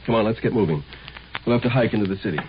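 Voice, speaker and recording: An actor playing a hard, sardonic imperial military marshal in a 1950s radio drama, brusque and commanding. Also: male voice, on the low side, racing, close to an everyday voice. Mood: brisk, wary. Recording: muffled, noisy 1950s radio recording.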